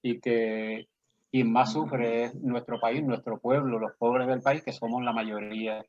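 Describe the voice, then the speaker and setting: A man's voice: mid-range voice, fairly even intonation, a little slow. A man with a Puerto Rican accent, a poet and guest, speaking earnestly and persuasively in a conversational interview. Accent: Puerto Rican Spanish. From Puerto Rico. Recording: slightly dull lo-fi audio.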